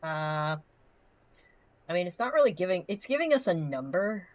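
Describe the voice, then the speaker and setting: A woman's voice: fairly low pitch, sing-song, moderate pace, no room sound, rough recording. A woman co-hosting a livestream in casual banter, blunt, sweary and emphatic. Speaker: livestream co-host.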